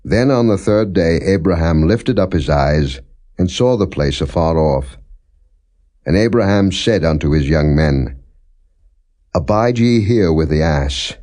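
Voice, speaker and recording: A man narrating scripture as a scripted, non-dramatized reading, in a solemn, measured and deliberate manner. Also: deep voice, mellow.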